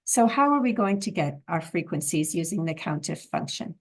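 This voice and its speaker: A woman instructor with a froggy, hoarse voice, calmly explaining a software tutorial step by step. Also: lowish voice, moderate pace. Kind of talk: screen-recorded software tutorial.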